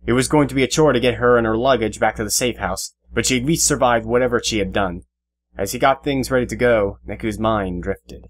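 A man's voice: medium pitch, a little fast. A man narrating a scripted fantasy story as an audio drama narrator. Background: guitar music.

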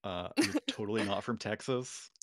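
A man's voice: lowish voice, normal speed, fairly bright tone, no room sound, clean studio sound. Hesitant man running a tabletop game. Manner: hesitant, many fillers and restarts. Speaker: game master.

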